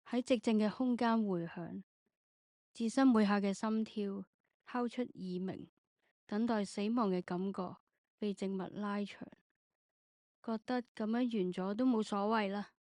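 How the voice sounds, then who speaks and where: A woman's voice: medium pitch, unhurried, warm tone, no echo, studio quality. A woman, reading scripted narration for an audio drama.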